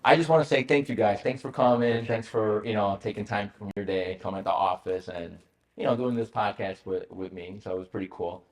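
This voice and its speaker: Host: man with an Italian accent, lowish voice, lively and joking, loose with fillers. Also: a little fast. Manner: animated, jokey, many fillers. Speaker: podcast host. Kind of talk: casual chat. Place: office.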